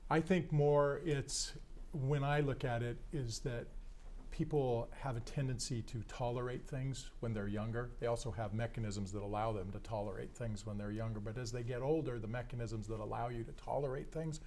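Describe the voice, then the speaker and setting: A man's voice: medium pitch, fairly even intonation, moderate pace, a little mellow, a little hiss or hum. A man, a doctor explaining things plainly as a guest on a radio show in the studio. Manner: easygoing, plain-spoken, explains with everyday examples. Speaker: ear, nose and throat doctor.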